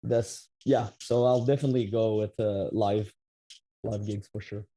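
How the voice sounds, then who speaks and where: A man's voice: fairly low pitch, steady tone, normal speed, dark tone. A man in his thirties with a Québécois French accent, a metal musician answering interview questions in a rambling way, full of restarts and fillers. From Quebec, Canada. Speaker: metal band musician, guest.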